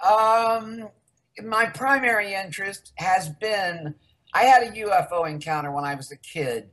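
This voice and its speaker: A woman's voice: medium pitch, unhurried, a bit bright. An older woman from the United States, a researcher guest in a casual interview, rambling candidly through her story.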